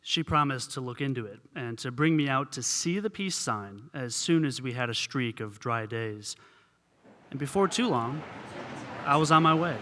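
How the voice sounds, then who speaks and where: A man's voice: medium pitch, lively intonation, moderate pace, pushed and bright. A man narrating a scripted radio story live on stage as a reporter. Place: theater stage. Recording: live theater with music behind.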